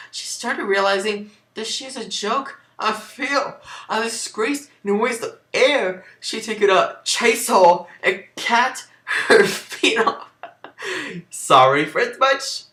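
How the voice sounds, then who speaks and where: A man's voice: high voice, pushed and bright. A man giving an amateur dramatic reading of fan fiction, with sarcastic, sweary asides. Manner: sarcastic, sweary, often breaking into laughter. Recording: roomy, echoey home recording.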